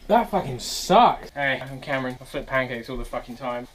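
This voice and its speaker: A man's voice: mid-range voice, animated, moderate pace. Young brit guy swearing through a pancake vid, voice jumps around a lot, faint room hum. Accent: British English. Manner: profane, rowdy, laughs and yelps a lot. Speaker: cooking vlog host. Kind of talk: sweary comedic cooking vlog. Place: small home kitchen, indoors. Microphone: fixed wide-angle camera across the room.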